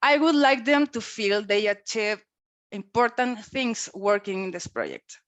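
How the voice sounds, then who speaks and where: A woman giving an earnest, measured prepared speech on leadership over a video call. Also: mid-range voice, lively intonation, a bit bright, no echo. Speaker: club speaker.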